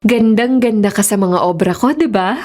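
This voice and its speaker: A woman's voice: medium pitch, moderate pace, close to an everyday voice. A woman playing a vengeful, wounded schoolgirl painter in a radio horror drama. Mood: coolly sinister. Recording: loud dramatic music underneath.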